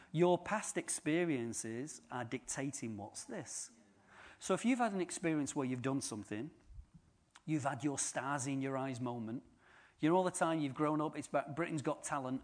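A man giving an informal sermon, chatty and joking with the congregation. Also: mid-range voice. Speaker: preacher.

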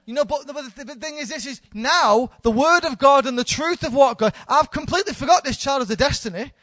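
A woman's voice: high-pitched, expressive, fairly quick, fairly bright tone, no echo. A woman in her thirties, a church leader, giving an informal, candid sermon with self-deprecating humour. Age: 30s.

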